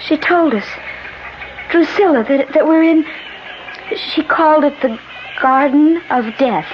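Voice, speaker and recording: An actress playing a frightened but determined woman caught between life and death in a 1970s radio drama, breathless and halting, repeating herself. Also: high-pitched. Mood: anxious. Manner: breathless, pleading, halting and repetitive. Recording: noisy, dull 1970s radio broadcast.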